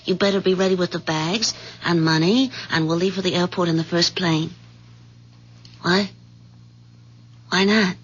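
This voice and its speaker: An actress playing a scheming, impatient mistress in a 1970s radio drama, commanding and familiar. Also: female voice, medium pitch, fairly bright tone. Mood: alarmed, impatient. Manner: commanding, familiar, one side of a phone call. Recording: muffled 1970s radio broadcast recording.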